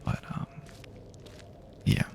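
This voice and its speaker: A man doing a soft-spoken, half-whispered ASMR roleplay as a wary apocalypse survivor, hesitant and rambling, often trailing off. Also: extremely slow, a little hiss or hum. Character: weary, wary but reassuring male survivor of an apocalypse.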